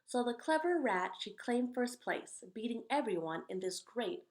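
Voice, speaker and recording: A woman hosting a read-aloud of children's picture books, delivering rhymes with expressive emphasis and chatting casually in between. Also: a little high, normal speed, background noise.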